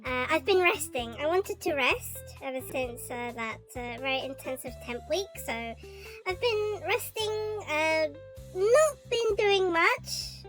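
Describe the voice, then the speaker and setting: A young woman with a high, light voice chats casually while streaming her art, her throat still slightly sore from a recent cough. She rambles and hesitates, with lots of ums and restarts. Soft music plays faintly underneath. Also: animated, slow pace, rough recording. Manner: rambling and hesitant, with many fillers. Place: bedroom at home.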